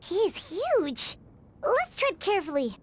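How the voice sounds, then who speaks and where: A woman voicing a tiny, excitable floating companion creature in a video game, chirpy and referring to herself in the third person. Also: very high-pitched, unhurried, exaggerated voice. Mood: cautious.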